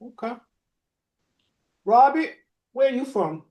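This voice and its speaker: A man's voice: extremely high pitch, expressive, a little mellow. A man from hosting a podcast, opening with a rhymed spoken-word intro and then questioning his guests. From Boston. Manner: slow, rhythmic spoken-word delivery. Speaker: podcast host.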